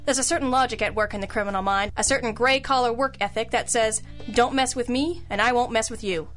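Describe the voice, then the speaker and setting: Woman playing a high-voiced, wry girl detective. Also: fairly quick, a bit bright, faint music behind, natural voice. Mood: wry narrating. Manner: sardonic, knowing. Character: wry, tough girl detective in hard-boiled noir. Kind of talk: old-time radio detective drama acting and narration.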